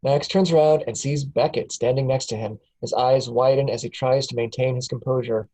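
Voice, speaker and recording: A man's voice: mid-range voice, steady tone, a little fast, warm tone. A man narrating stage directions matter-of-factly at a film script table read.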